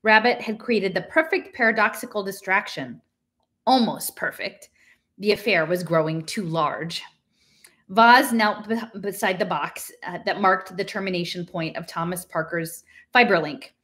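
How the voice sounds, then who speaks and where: American woman, middle-aged, medium pitch, informal read-aloud host, conversational and emphatic delivery. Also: a little slow, a bit bright. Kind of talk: casual talk and unrehearsed reading aloud from a book. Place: small living room at home, indoors.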